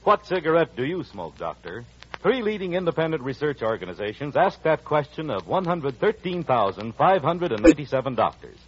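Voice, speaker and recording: A man's voice: on the high side, big pitch swings, brisk, a little hiss or hum. A man announcing formally and emphatically in polished 1940s radio style. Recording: muffled 1940s radio broadcast. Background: music.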